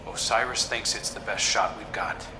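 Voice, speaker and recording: A man's voice: fairly low pitch, fairly even intonation, moderate pace, natural voice. A man playing an earnest, determined, selfless adventurer and brother in a video game, sincere and understated. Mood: earnest, vulnerable. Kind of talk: scripted video game drama. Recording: echoey room, music underneath.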